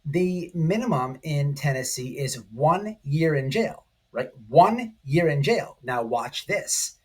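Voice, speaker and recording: A man giving casual, excitable online commentary, speaking emphatically to his viewers and repeating his points. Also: fairly high pitch, slow, breathy edge. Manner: excitable, emphatic, repetitive, addressing viewers directly. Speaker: online commentary channel host. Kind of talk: casual, informal video commentary.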